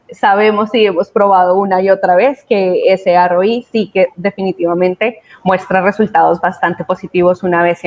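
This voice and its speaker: A woman giving an explanatory business talk in deliberate, formal phrasing. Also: mid-range voice, darkish tone. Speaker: software company representative. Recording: dull, lo-fi online call audio.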